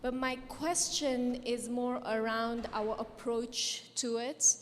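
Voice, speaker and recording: A woman's voice: fairly high pitch, steady tone, slow pace, a little hiss or hum. A woman with a Kenyan accent, a native Swahili speaker, asking an articulate, probing question from the audience. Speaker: audience member. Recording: echoey conference hall.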